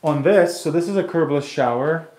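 A man's voice: on the high side, moderate pace, a little mellow. Man, thirties, casual rambling how-to talk, live-stream, handheld phone sound. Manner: loose and rambling, many restarts and "like" fillers. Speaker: tile installer streaming his work. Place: bathroom renovation site and snowy driveway.

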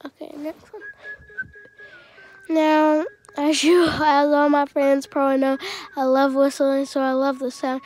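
Casual female game reviewer, high and unhurried, stuffy with a cold, rambling and throat-clearing. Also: completely flat delivery, a little mellow, low-quality recording. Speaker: channel host reviewing a music game.

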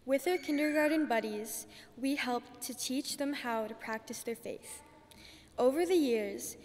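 A woman's voice: a little high, darkish tone. A teenage girl, a graduating student, reading a prepared ceremony speech at a podium microphone. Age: teenager, about 14. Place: large church, indoors. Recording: church hall reverb, podium microphone.

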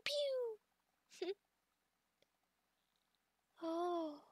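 A woman voicing a sweet, childlike, devoted adult female goblin in an ASMR roleplay, speaking in halting, excitable broken third-person sentences. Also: very high-pitched, no echo, studio quality, exaggerated voice. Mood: amazed, curious.

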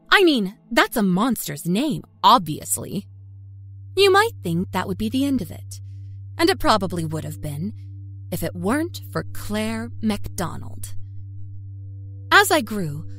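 A woman playing a self-satisfied small-town liar from Kentucky, telling her dark story in a folksy, confiding way. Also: mid-range voice. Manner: folksy, chatty, smugly confiding. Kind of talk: first-person dark storytelling. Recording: music under the narration.

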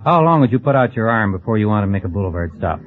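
A man's voice: fairly low pitch, big pitch swings, racing. A matter-of-fact man giving plain, casual answers as a 1940s radio quiz contestant. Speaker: quiz show contestant, motor vehicle examiner. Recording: muffled old radio recording.

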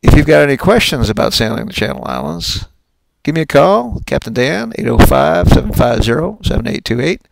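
An older man, a sailing captain, narrating his own trip video in a relaxed, folksy way with some fillers. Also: a little high, brisk, vocal fry, very noisy.

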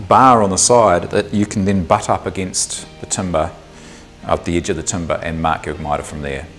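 A man in his thirties with a New Zealand accent, explaining a woodworking project step by step in a workshop, relaxed and thinking aloud with the odd um. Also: fairly low pitch. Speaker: woodworking instructor. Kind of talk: workshop tutorial. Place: small indoor workshop.

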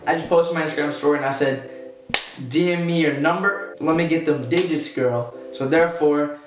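A young man with a slightly high voice talks casually and slangily. He sounds a bit off the mic in an echoey room, like a home vlog. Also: normal speed, distant mic, background noise. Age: young adult, late teens. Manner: informal, slangy. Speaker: vlogger making prank calls. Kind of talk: casual chat to camera and on the phone. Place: small indoor room at home. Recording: roomy, off-mic, music behind.

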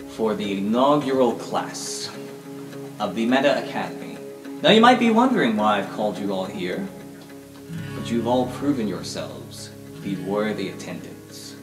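A man's voice: fairly low pitch, echoey room, distant mic. A man voicing a suave villainous mentalist who addresses captive students in a grandiose, formal way, in a tabletop roleplay podcast.

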